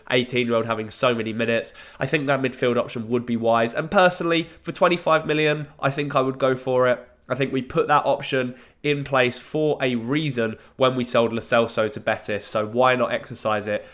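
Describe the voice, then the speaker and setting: A man's voice: mid-range voice, fairly bright tone. Nasal man with a cold. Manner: earnest, pleading fan talk. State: blocked nose from a cold. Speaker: football fan YouTuber. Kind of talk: casual transfer news round-up.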